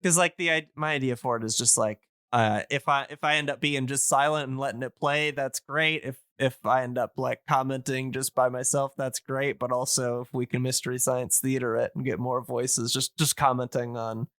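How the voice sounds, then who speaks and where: A man's voice: medium pitch, fairly even intonation, moderate pace, few pauses, fairly bright tone, smooth, no echo, clean studio sound. A man in a casual podcast chat, enthusiastic but hesitant as he searches for his words.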